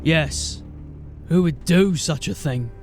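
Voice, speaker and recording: A wry, weary watch sergeant. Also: male voice, a little high, takes it slowly, a little mellow, faint music behind. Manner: dry, wry, commanding. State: tired. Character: weary, dry-witted watch sergeant, middle-aged man. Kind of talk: audio drama acting.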